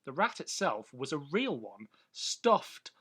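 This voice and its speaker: A man's voice: a little high, big pitch swings, takes it slowly, bright, forceful tone, husky. A man co-hosting a podcast, chatting casually and sharing bits of trivia in an informative way. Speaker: podcast co-host. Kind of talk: casual chat about a vintage TV and radio show.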